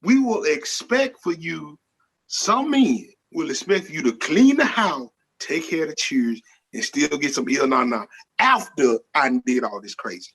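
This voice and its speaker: A man reasoning persuasively and in a measured way during a casual panel discussion. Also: a little high, animated, fairly bright tone, husky, low-quality recording. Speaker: podcast panelist.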